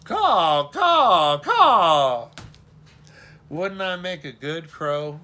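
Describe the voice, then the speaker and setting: A man voicing a stubborn, excitable little boy with a silly imagination, speaking emphatically in a storybook reading. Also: fairly high pitch, unhurried. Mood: playful, firm. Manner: emphatic, chanting and protesting.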